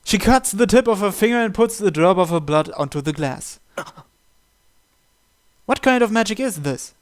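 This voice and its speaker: A man's voice: high voice, lively intonation, normal speed, smooth. A man reading a visual novel script aloud as narration for his gaming series.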